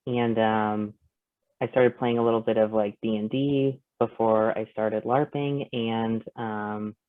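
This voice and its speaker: A man speaking casually and haltingly as a panel guest. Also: medium pitch, no echo. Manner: hesitant, halting. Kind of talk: casual self-introduction. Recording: thin phone-like call, dry.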